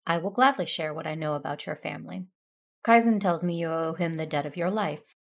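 A woman playing a calm, firm Jedi aunt in an audio drama, measured and persuasive, with a creaky voice. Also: sits low. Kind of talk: audio drama acting with first-person narration.

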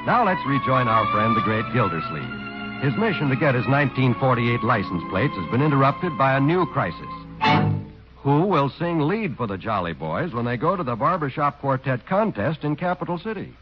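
A man's voice: medium pitch, very animated, normal speed, over loud music. A man announcing and reading an emphatic, hard-sell commercial on a 1940s radio show. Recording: dull old radio broadcast.

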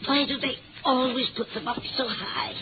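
An actress playing a kindly, fretful older librarian in a genteel, chatty manner in a 1950s radio drama. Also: female voice, high-pitched, fairly even intonation, moderate pace, lightly acted. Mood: fussy, friendly. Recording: tinny, noisy old radio recording.

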